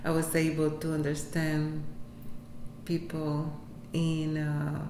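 Woman, Puerto Rican accent, native Spanish speaker, halting, echoey room, faint noise. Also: sits low, monotone, dark tone. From Puerto Rico. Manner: halting, many fillers and restarts, laughs often. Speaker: interviewee, health center employee. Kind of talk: casual interview.

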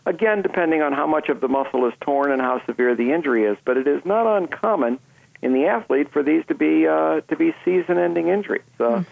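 A man speaking as a doctor on a call-in radio interview, relaxed and plain-spoken with many fillers. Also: on the high side, fairly quick, vocal fry, no room sound. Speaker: sports medicine doctor, phone-in guest. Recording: tinny phone line.

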